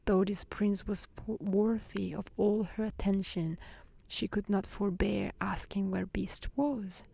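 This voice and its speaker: A woman reading a fairy tale in a soft, breathy, near-whispered ASMR style with a gentle, soothing manner. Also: medium pitch, fairly even intonation, slow pace, no room sound.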